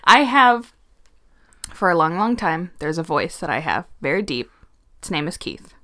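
A woman's voice: lowish voice, very animated, normal speed, fairly bright tone. A woman, chatting casually as a co-host and laughing often mid-sentence. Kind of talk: casual chat.

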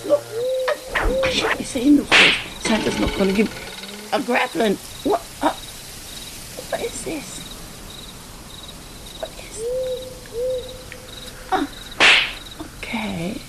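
A woman's voice: fairly high pitch, wildly swooping pitch, takes it slowly, gravelly, pauses often, heavy background noise, exaggerated voice. A woman voicing a greedy, cheeky little girl in a nightgown, who talks in a breathless, giggly way and swears. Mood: nervous, curious.

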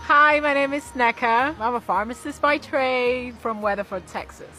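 A woman's voice: high voice, normal speed, over music. A woman in her forties from Nigeria introducing herself as a reality show contestant. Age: 40s. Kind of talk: self-introduction to camera.

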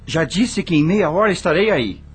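A man's voice: sits high, animated, normal speed, slight background noise. A man playing a kind, tired but dutiful lawyer in a radio soap opera. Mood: urgent, reassuring. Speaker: actor.

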